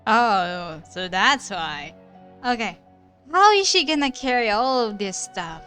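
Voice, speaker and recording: A woman's voice: mid-range voice, big pitch swings, takes it slowly, sharp tone, faint music behind. A woman doing casual live commentary as she plays a video game, exclaiming and teasing at what she sees on screen. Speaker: streamer reacting to a video game.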